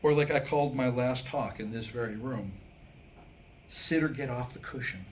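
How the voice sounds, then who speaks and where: A mature man delivering a scripted comic talk to a live audience, telling stories and jokes with deliberate pauses. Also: mid-range voice, slight background noise. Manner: storytelling, deliberate pauses, acting out dialogue in jokes. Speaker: humorist and author. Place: indoor room, live staged reading.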